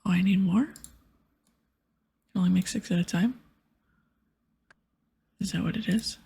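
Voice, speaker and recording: A woman giving hushed, breathy ASMR commentary as she plays a game, mostly whispering with stretches of soft speech. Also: lowish voice, a little slow. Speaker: ASMR gaming streamer.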